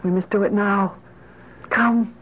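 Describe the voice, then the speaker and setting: A woman's voice: medium pitch, fairly even intonation, slow pace, breathy edge. A woman playing a desperate, grief-obsessed mother in an old-time radio drama, with a theatrical, imploring delivery. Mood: fixed, determined. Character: desperate, grief-obsessed mother pleading for her dead child. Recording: noisy, dull old radio broadcast recording.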